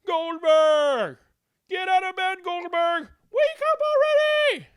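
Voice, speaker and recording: A woman voicing angry, grouchy villagers and servants yelling in a children's storybook. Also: very high voice, lively intonation, slow, no room sound, studio quality, pitched above the performer's own voice, heavily acted. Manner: shouty, grouchy. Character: angry, grumbling villagers and household staff yelling.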